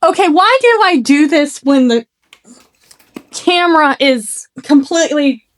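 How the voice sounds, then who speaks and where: A young woman with an Arkansas drawl and a slight lisp talks in a fairly high voice, chatty and self-deprecating. She rambles and trips over her words and laughs at herself. It is recorded on a phone at home. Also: lively intonation, measured pace. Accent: Southern US, Arkansas. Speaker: makeup vlogger. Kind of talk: casual makeup vlog chat. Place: at home, seated. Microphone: phone camera.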